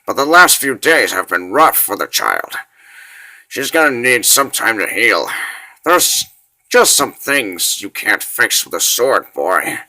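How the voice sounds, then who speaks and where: A man playing a gruff, fatherly warrior companion in a comic dub, folksy and plainspoken. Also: lowish voice, sing-song, takes it slowly, bright, forceful tone, full character voice, rough recording. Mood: weary, protective. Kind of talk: dramatic comic dub acting.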